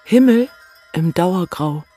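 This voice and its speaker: A woman's voice: on the low side, animated, slow pace, warm tone. An actress playing a restless, questioning woman in a poetic radio play, speaking in short clipped phrases. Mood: restless, bleak. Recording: music under the voice. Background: music.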